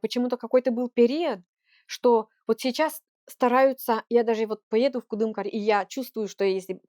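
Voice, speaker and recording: A woman's voice: fairly high pitch, fairly even intonation, normal speed, mellow, no echo, studio quality. A woman speaking Russian with a Komi-Permyak accent, a native Komi-Permyak speaker, giving earnest, heartfelt interview answers as a children's folk ensemble leader.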